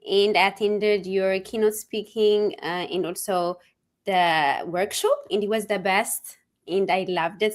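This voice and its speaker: Eager woman hosting an interview. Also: medium pitch. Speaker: host. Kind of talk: conversational interview.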